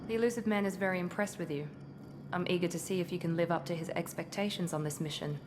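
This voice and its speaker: An actress playing a confident, critical covert operative in a video game, speaking in an aloof, self-assured way. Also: female voice, lowish voice, fast talker, music in the background, lightly acted. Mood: cool, wary. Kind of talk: video game cutscene acting. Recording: clear ambient noise behind.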